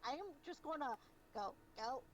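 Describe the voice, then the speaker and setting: A woman's voice: sits high, expressive, normal speed, dull audio. A woman talking casually in improvised roleplay on an online voice chat. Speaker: roleplay participant. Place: online voice chat in a virtual world. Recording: voice chat with crowd babble.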